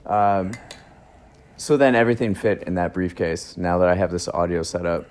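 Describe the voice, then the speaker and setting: A man's voice: deep voice, moderate pace. A man hosting a casual podcast chat in his cousin's garage, telling stories in a rambling way with restarts and laughs, recorded on a phone in his chest pocket. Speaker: podcast host.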